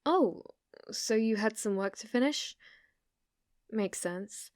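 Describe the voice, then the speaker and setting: British woman doing shy sweet shop clerk who's secretly possessive, asmr roleplay, soft n slow, super dry recording, kinda apologetic. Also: medium pitch, animated, no room sound. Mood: curious, concerned. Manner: hesitant, apologetic, solicitous, with soft giggles.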